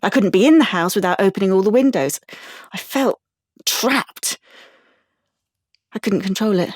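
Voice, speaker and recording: An actress playing an anxious, wounded young woman in an audio drama, confiding haltingly and stumbling over her words. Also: medium pitch, animated, slightly rough, no room sound, studio quality. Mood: bleak, confiding. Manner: halting, confiding, with stammered restarts. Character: anxious, wounded young woman artist, defensive about privacy. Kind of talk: audio drama monologue and dialogue.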